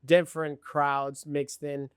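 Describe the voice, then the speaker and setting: A man hosting a podcast, asking questions and reflecting in a relaxed, encouraging way. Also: a little high, slow, smooth, dry recording, clean studio sound. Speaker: podcast host. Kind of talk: casual interview.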